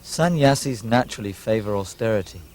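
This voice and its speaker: A man playing a humble, serene ascetic holy man in a puppet show, calm and measured. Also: on the low side, no room sound, natural voice. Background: music.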